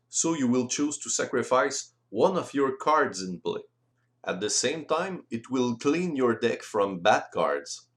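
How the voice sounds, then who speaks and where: A man whose native language is French, explaining game rules in careful, halting, non-native English as an instructional voice-over. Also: medium pitch, fairly bright tone.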